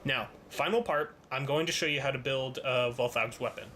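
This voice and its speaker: Male hobbyist presenter, bright forward tone, casual halting tutorial delivery, noticeable background noise. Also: mid-range voice. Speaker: hobbyist presenter of a toy-building how-to.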